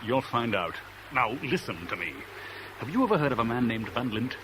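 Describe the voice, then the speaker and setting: An actor playing a tense, clipped, commanding escape organiser in a 1970s radio thriller. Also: male voice, mid-range voice, very animated, a bit bright. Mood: impatient. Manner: brisk, insistent questioning. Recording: noisy old radio drama recording.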